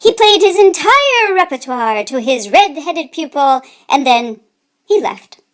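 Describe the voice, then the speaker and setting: A woman reading a children's story aloud with deliberate, dramatic emphasis. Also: high-pitched, sing-song. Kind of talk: expressive read-aloud narration for children.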